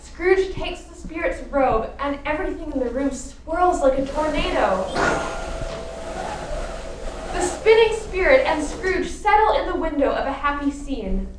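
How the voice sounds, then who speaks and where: A woman's voice: sits high, animated, a little slow, recorded from a distance. A woman narrating a radio play with a theatrical storytelling delivery. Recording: echoey room from afar, faint noise.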